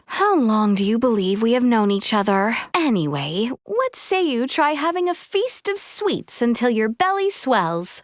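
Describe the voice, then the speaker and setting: A woman's voice: a little high, no echo, studio quality. A woman voicing a spirited young princess in a video game, speaking earnestly and expressively in formal, old-fashioned courtly language. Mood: playful, caring. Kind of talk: video game character dialogue.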